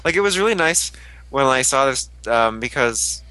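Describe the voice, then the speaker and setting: A man's voice: mid-range voice, lively intonation, moderate pace, pushed and bright, no room sound, poor audio. A young man in casual podcast chat, joking crudely and using lots of "like" fillers. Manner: crude jokes, frequent "like" fillers.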